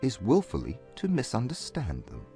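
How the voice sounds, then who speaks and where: Man playing aloof Regency gentleman, haughty, formal, measured, lively intonation, audiobook drama, faint music underneath. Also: medium pitch. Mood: grave, self-assured.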